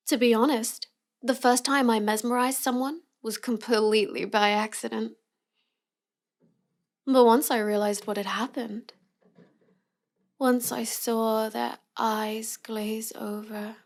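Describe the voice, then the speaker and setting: A woman playing a cute, teasing young villainess in an intimate roleplay audio, drawing out her words as she mocks the listener. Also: fairly high pitch. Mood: candid, sheepish, then entranced, confiding. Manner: slow, teasing delivery with drawn-out words and giggles. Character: cute, teasing, mocking young villainess with mind-control powers.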